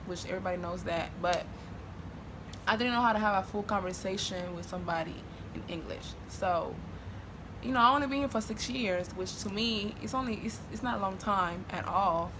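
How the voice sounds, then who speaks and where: A woman's voice: mid-range voice, normal speed, noisy background. A young woman from Honduras with a Spanish accent, chatting casually to her camera with lots of fillers and restarts. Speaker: vlogger.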